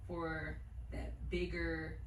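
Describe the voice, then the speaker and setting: A woman in her thirties with a somewhat low voice, hosting a relaxed interview and firing off direct questions in casual slang. She sits back from the mic in an echoey room with faint ambience. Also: very slow, recorded from a distance, a little hiss or hum. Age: 30s. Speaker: podcast host, interviewer.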